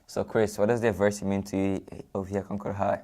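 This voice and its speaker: Low, gravelly, quick-talking young male interviewer. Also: level tone, mellow. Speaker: student interviewer. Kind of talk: school TV interview.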